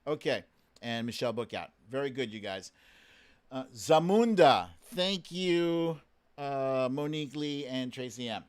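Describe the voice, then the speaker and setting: Man, live stream host, slow-paced, casual quiz banter, informal, teasing, mildly sweary. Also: mid-range voice, lively intonation, smooth.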